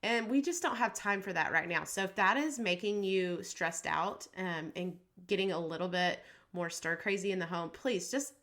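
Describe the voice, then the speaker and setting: A woman's voice: lowish voice, moderate pace, hardly any pauses, a bit bright. A woman hosting a podcast, talking in an upbeat, reassuring and chatty way, her voice a little scratchy from allergies. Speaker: podcast host. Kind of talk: casual podcast pep talk.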